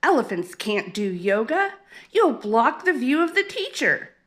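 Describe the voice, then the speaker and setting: A bossy female giraffe in a children's storybook, played by a woman reader. She speaks in a raised pitch, like a pushy classmate who likes to complain. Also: big pitch swings, a little slow, a bit bright, exaggerated voice. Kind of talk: children's storybook read-aloud.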